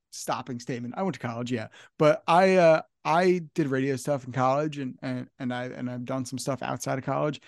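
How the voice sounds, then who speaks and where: A man's voice: on the high side, gravelly, no room sound, clean studio sound. A young man hosting a casual podcast interview, enthusiastic and repeating his phrases as he talks. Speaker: podcast host.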